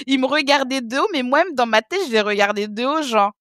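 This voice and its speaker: A woman in her thirties from French Guiana, a podcast guest telling stories in a lively way, laughing and acting out what others said. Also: high voice, normal speed, no echo, clean studio sound. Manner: lively, laughing, acts out other people's remarks. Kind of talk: casual interview storytelling.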